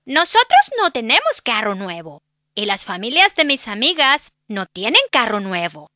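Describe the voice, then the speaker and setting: A man voicing a chatty sixteen-year-old older sister, explaining earnestly in falsetto. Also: huge pitch swings, normal speed, sharp tone, no echo, studio quality, full character voice.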